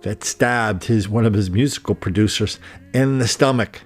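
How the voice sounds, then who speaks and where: Commentator guy, indignant monologue, hammers his points, soft music underneath. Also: lowish voice, moderate pace. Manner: indignant, emphatic repetition. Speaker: political commentator. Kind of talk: opinion monologue.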